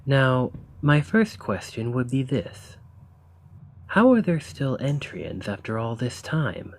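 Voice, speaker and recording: Man playing a husky, courteous professor of ancient civilizations. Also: medium pitch, sing-song, exaggerated voice. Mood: fascinated, polite. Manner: formal, bookish, polite, halting. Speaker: audio roleplay performer. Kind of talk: scripted ASMR roleplay acting.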